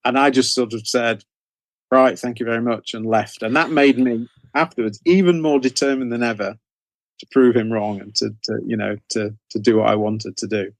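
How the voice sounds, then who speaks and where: A man's voice: medium pitch, fairly even intonation, moderate pace, no echo. A British man in his sixties telling anecdotes informally as an interview guest, often circling back on his phrases. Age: 60s. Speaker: interview guest, horror author. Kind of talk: conversational storytelling in an interview.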